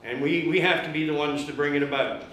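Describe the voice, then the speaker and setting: A man giving a loud, impassioned speech at an activist meeting, rallying the crowd with many fillers. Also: fairly high pitch, steady tone, fast. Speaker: guest speaker at an activist meeting. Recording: very echoey room with faint noise.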